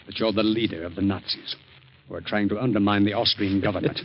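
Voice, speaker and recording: A man's voice: on the low side, fairly even intonation, quick pace, a little hiss or hum, natural voice. A man acting a grim, resolute young gunman in an old-time radio drama, terse and commanding. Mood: accusing, determined. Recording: dull muffled old radio recording.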